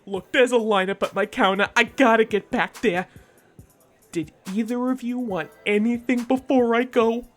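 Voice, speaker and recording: A woman plays a busy counter worker in a comic audio play. Her voice is fairly high and casual, with faint music behind it. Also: steady tone, normal speed, lightly acted. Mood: hurried. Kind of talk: comedic audio drama acting.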